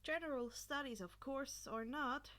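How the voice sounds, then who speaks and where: A woman's voice: high voice, a little slow, bright, forceful tone. A woman narrating a scripted story as a reader of fan fiction.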